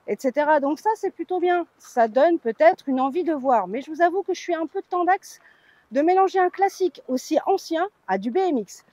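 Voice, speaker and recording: A woman's voice: sits high, warm tone, no room sound. A woman from France hosting a vlog, chatting informally with a playful, candid manner. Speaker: vlog host. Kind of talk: chatty theme park news vlog.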